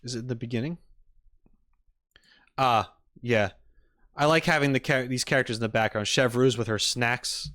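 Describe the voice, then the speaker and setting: A man's voice: mid-range voice, normal speed, pauses often, fairly bright tone. A man casually chatting and reacting on a livestream, with easygoing asides muttered to his viewers. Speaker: streamer reacting to game videos.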